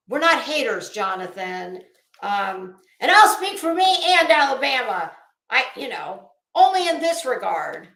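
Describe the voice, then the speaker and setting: Slow, high-voiced woman, sarcastic and echoey. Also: fairly bright tone. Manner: sarcastic, mocking. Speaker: online commentator. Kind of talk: rambling, casual live-stream commentary. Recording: roomy, echoey sound.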